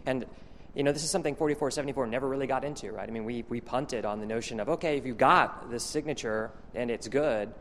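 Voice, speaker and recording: A man speaking up from the floor at a technical meeting, talking quickly and asking rhetorical questions. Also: medium pitch, fairly bright tone, long reverb tail, a little hiss or hum. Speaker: meeting participant. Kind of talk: open-floor discussion.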